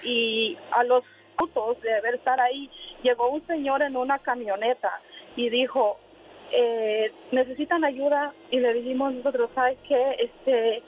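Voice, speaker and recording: A woman's voice: fairly high pitch, fairly even intonation, a little slow. A woman caller telling a personal story hesitantly, full of fillers and quoted dialogue, over a phone call from a car. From Honduras. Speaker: radio call-in listener. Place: in a car while driving. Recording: tinny noisy phone call.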